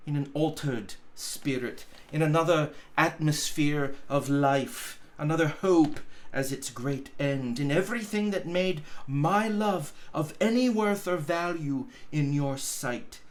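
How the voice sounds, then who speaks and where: A gentle young woman from a storybook, read by a man, full of sorrow and quiet resolve. The delivery is very slow and grave, with halting, deliberate phrases. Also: fairly high pitch. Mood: resigned sorrow. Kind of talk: dramatic storybook reading.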